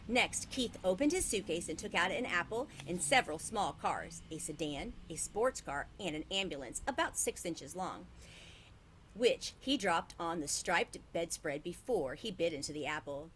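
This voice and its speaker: Woman reading a kids book out loud, expressive, slightly low voice, faint noise. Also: normal speed. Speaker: read-aloud storyteller.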